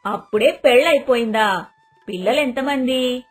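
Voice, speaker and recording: A woman's voice: fairly high pitch, unhurried, breathy edge. A woman voicing a proud, disapproving, suspicious mother-in-law in a cartoon moral story. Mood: questioning. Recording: dialogue over background music.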